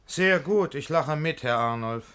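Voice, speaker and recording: A worldly friend who is the teasing voice of reason in a classic verse radio comedy. His voice sits a little high for a man. He declaims elegantly, with measured, sceptical irony. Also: expressive, fairly bright tone, clear, resonant tone.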